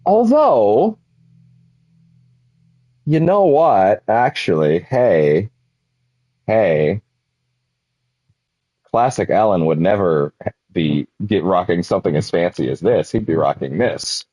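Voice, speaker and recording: A man's voice: low voice, very animated, takes it slowly, long pauses, mellow, husky, no echo, poor audio. A man streaming a game, chatting casually in a rambling way with lots of fillers, a little sniffly from a cold. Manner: rambling, filler-heavy, self-correcting. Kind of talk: casual livestream chat while gaming.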